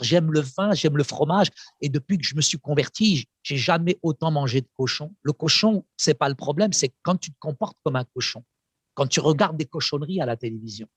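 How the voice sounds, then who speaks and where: Man, pastor, native Arabic speaker, fervent and emphatic, husky voice, dry close sound. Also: medium pitch, no room sound. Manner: fervent, emphatic, with repetitions and pauses. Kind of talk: encouraging testimony address.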